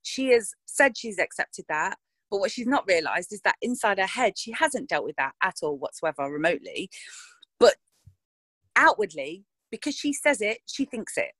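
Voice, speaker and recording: A woman speaks frankly and casually about her character, often restarting her sentences as she thinks aloud. Her voice sits at a middle pitch. The sound is dry and close, with no room around it. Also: very animated, normal speed, a bit bright, husky, no echo. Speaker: actress interviewed about her roles. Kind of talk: casual interview chat.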